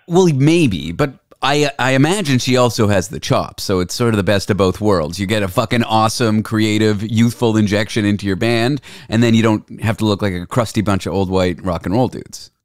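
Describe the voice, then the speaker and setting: A man's voice: lowish voice, normal speed, hardly any pauses, no room sound, clean studio sound. A man hosting a podcast, chatting loosely and telling stories with the odd casual swear. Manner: relaxed storyteller, swears casually. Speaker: podcast host. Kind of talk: casual conversation.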